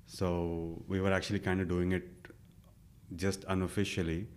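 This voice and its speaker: Low-voiced man telling his story. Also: moderate pace. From India. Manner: relaxed, with many fillers and self-quotes. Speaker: interview guest. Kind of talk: casual conversational storytelling.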